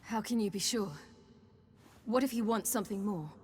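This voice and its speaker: A woman's voice: medium pitch, normal speed, darkish tone, husky, in a large hall, close to an everyday voice. A woman voicing a young, restless swordswoman in a video game, speaking earnestly and urgently. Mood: uncertain. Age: young adult. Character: determined, restless young swordswoman and fledgling mage. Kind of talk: video game cutscene acting.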